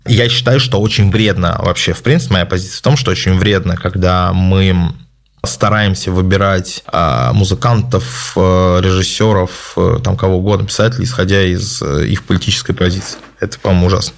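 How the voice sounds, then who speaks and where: A man hosting a casual question-and-answer session, giving his opinions in a measured way with many hesitant filler sounds. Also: low-pitched, fairly even intonation, vocal fry. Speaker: host answering viewer questions. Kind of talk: casual spoken Q&A.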